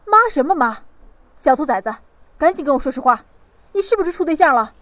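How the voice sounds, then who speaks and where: A woman playing a wealthy middle-aged mother, bossy and brisk but doting, in an audio drama. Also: sits high, expressive, fairly bright tone, no echo. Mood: scolding, impatient.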